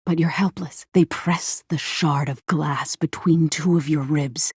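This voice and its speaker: A woman voicing a living nightmare in a video game, an ominous yet tender figure who speaks slowly in a hushed, breathy, husky voice, like an intimate storyteller. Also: deep voice, no echo, clean studio sound, heavily acted. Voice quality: husky, breathy, often near a whisper. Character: ominous, tender female living nightmare, a dreamy existential dread. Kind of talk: scripted dramatic game narration.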